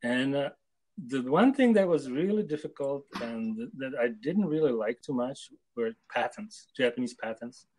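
An older man from Czechoslovakia answering interview questions on a video call, hesitant and full of pauses. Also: mid-range voice, expressive, darkish tone, slight background noise, rough recording. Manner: hesitant, with many pauses and fillers. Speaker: guest translator. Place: home room with photos on wall.

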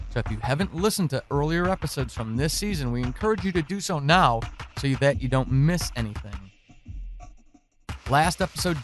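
Higher-pitched man narrating over music. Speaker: announcer, show narrator. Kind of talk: scripted narration.

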